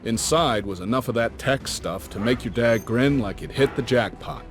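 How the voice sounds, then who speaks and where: A man's voice: medium pitch, normal speed, natural voice. An actor playing a folksy older male adventurer who tells war stories to a youngster in a game cutscene. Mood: wry, reminiscing. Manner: folksy, slangy, reflective storytelling. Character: folksy older male adventurer, warm storytelling uncle type. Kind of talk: cinematic game cutscene narration. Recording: music behind the voice.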